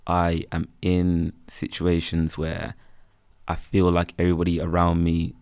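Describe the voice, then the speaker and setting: A young man with a Birmingham accent, chatting in a relaxed, rambling way as an interview guest. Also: deep voice, unhurried, dry recording. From England. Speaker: guest, freelance photographer. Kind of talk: casual interview chat.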